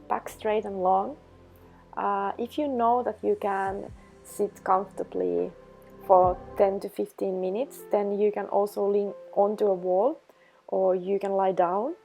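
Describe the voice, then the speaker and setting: A woman leading a guided meditation, giving instructions in slow, measured phrases. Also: medium pitch, vocal fry, mellow. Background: background music.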